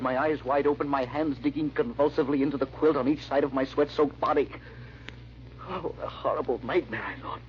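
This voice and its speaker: An earnest gentleman sleuth, played by a radio actor, speaks with brooding, hesitant drama. His male voice sits at a middle pitch on a muffled vintage recording with steady noise. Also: close to an everyday voice. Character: haunted, earnest gentleman amateur sleuth narrating his search. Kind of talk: first-person old-time radio drama narration.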